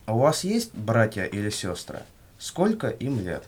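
A man reading scripted questions and answers for a language lesson in a measured way. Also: lowish voice, huge pitch swings, breathy edge. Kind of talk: scripted language-lesson dialogue, read aloud.

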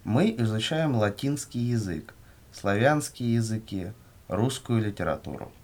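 A man reading scripted language-course answers in a measured, carefully enunciated way. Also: fairly low pitch, expressive, darkish tone. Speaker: language lesson reader.

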